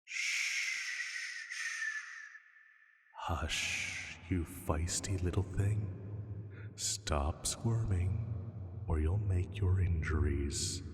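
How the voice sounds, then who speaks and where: A man voicing a huge male dragon in a roleplay sleep-aid drama, teasing and soothing with gentle menace, lazily amused, with drawn-out pauses. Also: low-pitched. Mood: hushing, soothing. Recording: long cavernous reverb.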